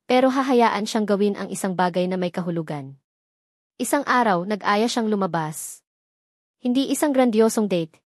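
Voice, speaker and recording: A woman's voice: mid-range voice, no room sound, studio quality. A woman with a Filipino accent reading a scripted first-person romance story as a storyteller.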